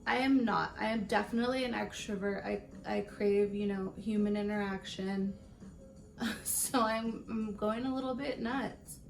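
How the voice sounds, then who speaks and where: A woman in her late twenties with a raspy voice, chatting casually through a makeup tutorial and rambling between stories with laughs. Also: mid-range voice, steady tone, moderate pace, faint music behind. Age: late 20s. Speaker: beauty vlogger.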